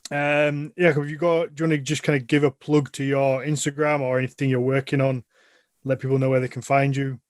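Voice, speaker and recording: A man's voice: medium pitch, level tone, a little fast, no room sound, clean studio sound. A man hosting a podcast with informal, loosely phrased questions in casual chat. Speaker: podcast host.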